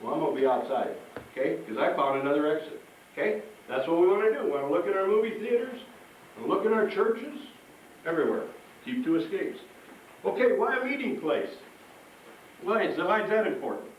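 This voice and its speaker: A man's voice: high voice, very animated, a little fast. A man presenting as a firefighter, giving a folksy, instructive safety talk to children and often checking in with them. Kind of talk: interactive safety talk to schoolchildren. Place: large echoey room with an audience. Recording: very echoey room, distant, faint noise.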